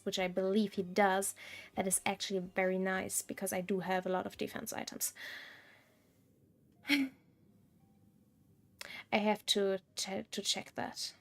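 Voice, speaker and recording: A woman game streamer giving casual live commentary, thinking aloud in a blunt, wry way. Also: mid-range voice.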